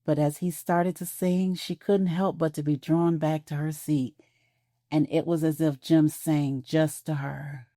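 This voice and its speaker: A woman narrating an audio drama in a soft, measured storytelling voice, recorded on a close studio mic. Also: deep voice, flat delivery, dry recording.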